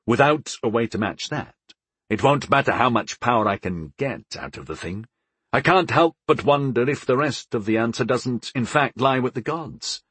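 Man acting a wry, animated, reckless wizard. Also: mid-range voice, moderate pace, husky, no room sound. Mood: thoughtful. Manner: wry, sarcastic, musing. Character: sarcastic, daring male wizard, eager and reckless.

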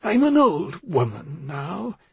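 A man voicing an elderly woman doctor in an audiobook, frail and calculating, speaking in a measured, polite and evasive way. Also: fairly high pitch, very animated, gravelly, a little mellow, husky, no echo, pitched above the performer's own voice, full character voice. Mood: meek, pleading. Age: older. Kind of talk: audiobook character dialogue.

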